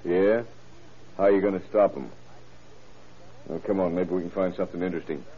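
A man playing a gruff, businesslike small-town undersheriff in an old-time radio crime drama, terse and plain-spoken. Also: sits low, fairly even intonation, fairly quick. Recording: noisy, tinny old radio broadcast recording.

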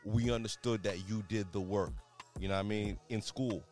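A man's voice: on the low side, steady tone, normal speed, a little mellow, soft background music. A man with Haitian parents making his case in a casual podcast debate, earnest and stumbling over words, often set a bit back from the mic. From United States, Haitian parents. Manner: earnest, repeats "you know what I mean", stumbles over words. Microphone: told to talk closer to the mic.

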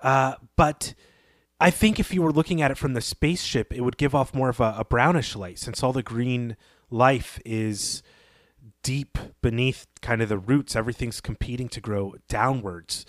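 Measured male podcaster, very dry sound. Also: mid-range voice, no echo. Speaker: podcast co-host. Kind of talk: conversational literary analysis.

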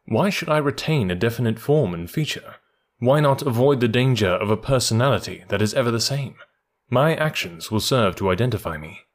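A proud master of disguise, voiced by a male audiobook narrator, speaks in a medium-pitched male voice. The gentleman thief is self-assured and theatrical, an elusive storybook rogue. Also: animated, fairly quick, close to an everyday voice.

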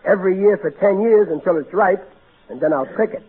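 A man's voice: high-pitched, brisk, close to an everyday voice. Man acting a blustering Brooklyn dad, old radio. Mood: cheerful, proud. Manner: folksy, ungrammatical, easily flustered. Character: blustering working-class family father, chuckling at own jokes. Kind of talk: 1940s American radio comedy acting. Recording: tinny, hissy 1940s radio recording.

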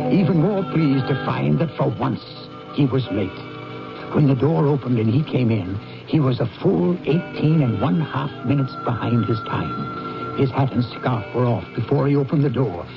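Guy doing a merry old miser, mock stern, mid pitched, 70s radio play, dull sound. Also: animated, normal speed, gravelly. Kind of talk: 1970s radio drama acting. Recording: muffled 1970s radio broadcast, faint music.

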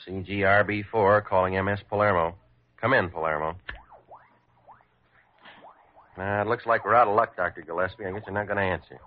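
An actor playing a patient, reasonable radio operator in an old radio drama. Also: male voice, sits low, fairly even intonation, fast talker, slight background noise, close to an everyday voice. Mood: businesslike. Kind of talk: 1940s radio drama acting. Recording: thin, phone-like old radio recording.

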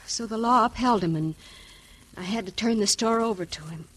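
Devoted, pleading frontier wife, highish voice. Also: female voice, moderate pace, fairly bright tone, low-quality recording. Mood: troubled. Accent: American. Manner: pleading, earnest. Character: devoted, anxious wife in the Old West. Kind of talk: old-time radio western acting.

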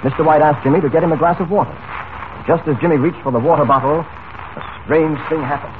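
An actor playing a heroic radio serial announcer, declamatory and dramatic in 1940s style. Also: male voice, on the high side, fast, natural voice. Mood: dramatic, suspenseful. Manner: declamatory, dramatic, crisp phrasing. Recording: noisy, tinny old radio recording.

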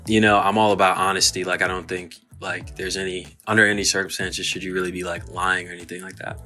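Deep-voiced laid-back young man. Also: flat delivery, quick pace, sharp tone, soft background music. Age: 20s. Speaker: contestant. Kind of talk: casual chat.

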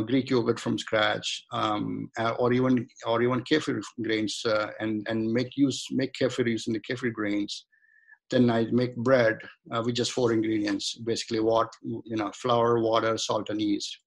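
A man's voice: medium pitch, vocal fry, low-quality recording. A middle-aged man from India, a guest in a casual interview, talking in a rambling way with many restarts and fillers. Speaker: guest, home cook and shaving-product maker.

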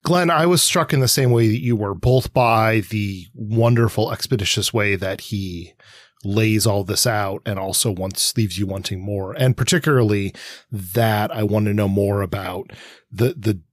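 A man's voice: lowish voice, moderate pace, no room sound, clean studio sound. A man co-hosting a podcast discussion, talking as a keen, enthusiastic fan. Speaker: podcast co-host. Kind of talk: podcast discussion with prepared remarks.

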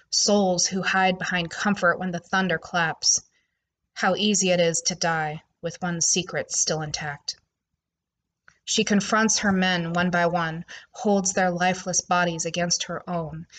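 A woman poet introducing herself and then reading her own dark poem in a slow, deliberate way. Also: lowish voice. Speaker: poet and writer.

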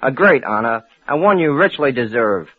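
A mid-pitched man makes a formal, scripted presentation. The sound is dry and tinny, from a 1940s radio broadcast. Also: animated, moderate pace, breathy edge, no echo. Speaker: radio editor presenting an award.

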